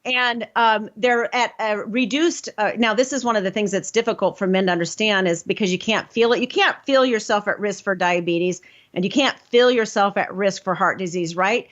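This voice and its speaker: A woman's voice: mid-range voice, normal speed, few pauses, fairly bright tone. A woman hosting a health talk show, explaining with emphatic conviction. Her voice sits in a middle register, and the recording sounds a little dull and lo-fi. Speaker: host.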